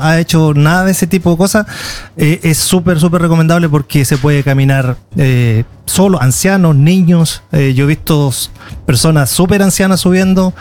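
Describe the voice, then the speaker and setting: A man's voice: on the high side, darkish tone. A man with a Chilean accent answering interview questions in a conversational, explanatory way, often repeating words as he goes. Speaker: interview guest, tour guide and video creator.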